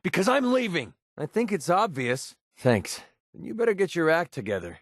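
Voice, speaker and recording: Wry young mercenary, close dry sound. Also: male voice, fairly high pitch, wildly swooping pitch, moderate pace, dry recording. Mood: angry, frustrated. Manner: casual, wry, conversational. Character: young cynical male mercenary, guarded but sentimental, post-apocalyptic. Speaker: video game voice actor. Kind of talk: video game companion voice lines.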